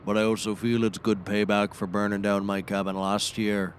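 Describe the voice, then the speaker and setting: An actor playing a folksy, dryly sarcastic backwoods man in a scripted comedy, with deadpan delivery. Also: fairly low pitch, moderate pace, slight background noise, close to an everyday voice. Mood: dry, wry. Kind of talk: scripted audio comedy acting.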